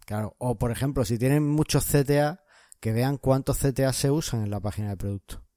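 A man's voice: medium pitch, brisk, mellow, no echo, studio quality. A man from co-hosting a podcast, explaining and advising listeners in casual chat. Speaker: podcast co-host.

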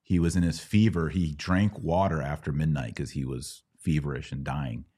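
A middle-aged American man with a notably low voice hosts a question-and-answer talk. He explains in a conversational, instructive way, often stopping to pose a rhetorical question and then continuing. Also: fairly even intonation, normal speed, mellow, husky. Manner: didactic, checking with rhetorical questions. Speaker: podcast host answering religious questions. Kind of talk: conversational Q&A teaching.

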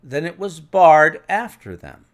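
A man voicing a cool, methodical detective in an audiobook, measured, precise and authoritative. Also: on the high side, sing-song, voice pushed up. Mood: assured, analytical. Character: cool, methodical male detective, assured and analytical.

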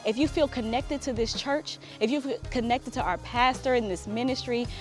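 A woman's voice: on the high side, normal speed, faint music behind. A woman giving an upbeat closing announcement for a church, talking directly to viewers.

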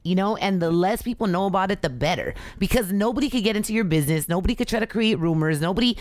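Raspy-voiced woman with an L.A. lilt. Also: mid-range voice, quick pace, no echo. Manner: animated, laughing storyteller. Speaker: podcast guest. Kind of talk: casual chat.

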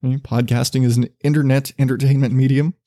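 A man with a relatively low voice hosting his own podcast, rambling casually with a loose, profane, self-deprecating manner and frequent restarts. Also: flat delivery, fast, dark tone, dry recording, studio quality. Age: not a millennial. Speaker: podcast host.

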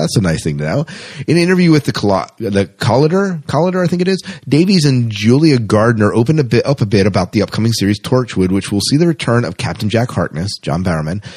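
A man's voice: fairly low pitch, darkish tone, husky. A man co-hosting a podcast, chatting casually and reading out news informally with offhand asides. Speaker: podcast co-host.

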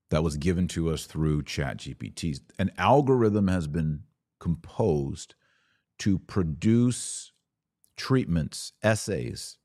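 Deep-voiced American man, close dry sound. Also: a little mellow, dry recording. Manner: emphatic, stretching key words, with conversational asides. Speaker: podcast host. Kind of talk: religious commentary podcast, speaking off the cuff to listeners.

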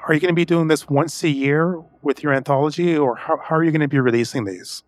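A man's voice: a little high, quick pace, no echo. A man hosting a podcast, speaking directly to his guest and listeners. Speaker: podcast host. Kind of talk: podcast hosting and scripted outro.

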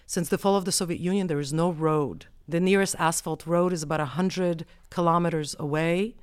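A woman from the United States speaks as an interview guest, laying out her research in a measured, explanatory way. Her voice is smooth and clear. She is recorded dry and close, with no room sound. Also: on the low side, fairly even intonation, dry recording. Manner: measured, explanatory, frequent um and right. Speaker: anthropologist guest. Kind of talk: academic interview answers.

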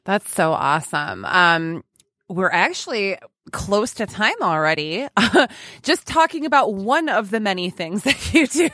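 An enthusiastic woman hosts a casual interview podcast. Her delivery is effusive and giggly, at an average pitch for a woman, and the sound is dry with little room in it. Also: big pitch swings, a little slow, fairly bright tone, no room sound. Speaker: podcast host.

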